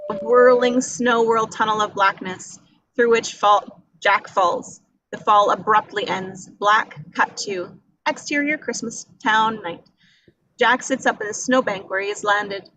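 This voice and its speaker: A woman's voice: medium pitch, a little slow, fairly bright tone, slight background noise, poor audio. A woman reading screenplay action descriptions at a table read in a dramatic storytelling manner. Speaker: reader of stage directions. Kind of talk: scripted narration at a table read.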